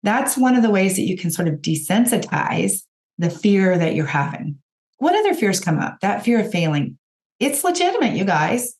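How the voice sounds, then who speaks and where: A woman with a raspy voice, hoarse from a cold, hosting a podcast and giving direct, encouraging coaching talk to her listeners. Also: mid-range voice, darkish tone. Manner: encouraging, direct, addresses listeners as you guys. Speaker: podcast host and business coach.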